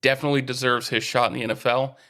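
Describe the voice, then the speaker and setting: Male podcast co-host, mid-pitched, casual and opinionated sports talk. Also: flat delivery, racing, sharp tone. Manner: informal, opinionated, swears casually.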